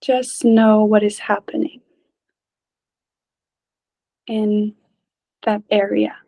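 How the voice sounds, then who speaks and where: A woman leading a guided meditation in a gentle, calm, unhurried way, leaving long pauses between short phrases. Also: medium pitch. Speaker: meditation teacher.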